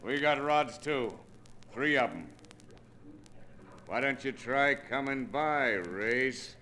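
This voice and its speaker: An actor playing a taunting armed gangster who drawls out mocking threats in a 1950s radio drama. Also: male voice, mid-range voice, expressive, pushed and bright. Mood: mocking menace. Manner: mocking, drawn-out delivery.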